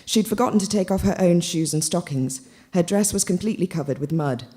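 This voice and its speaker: Female literary reader, narrating prose, on the low side, warm and dark in tone. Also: lively intonation, a little fast.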